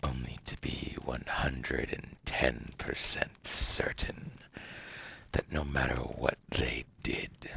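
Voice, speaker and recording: A man playing a seductive, cunning devil in an ASMR roleplay, whispering breathily and gravelly in a theatrical, teasing way. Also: a bass voice, little pitch movement, slow pace, bright, forceful tone, heavily acted. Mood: darkly menacing. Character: seductive, cunning otherworldly male devil offering tempting deals.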